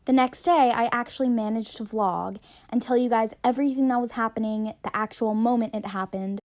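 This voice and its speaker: A woman's voice: fairly high pitch, steady tone, brisk, darkish tone. A young woman narrating her travel vlog in a wry, self-deprecating voice-over. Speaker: travel vlogger.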